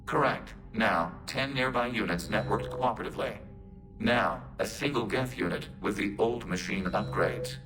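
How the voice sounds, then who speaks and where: An actor voicing a calm, logical synthetic robot in a video game, speaking in formal, measured, literal phrases. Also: male voice, on the low side, music in the background, close to an everyday voice, rough recording. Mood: calm, earnest.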